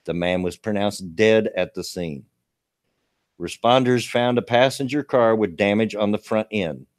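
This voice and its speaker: A man in his late fifties with a gravelly voice, hosting a casual livestream talk show and reading local news in a folksy, rambling way. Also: on the low side, a little slow, no room sound, studio quality. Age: 50s. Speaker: show host.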